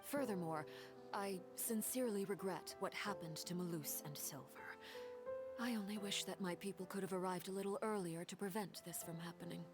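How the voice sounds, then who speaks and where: A woman acting a calm, formal female agent of a powerful organization in a video game, over music. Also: fairly low pitch, fairly quick, close to an everyday voice. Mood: cool, sincere. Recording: music behind the voice.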